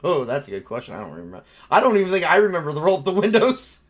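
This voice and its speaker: A man running a tabletop role-playing game as game master, narrating casually and haltingly as he improvises. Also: a little high, huge pitch swings.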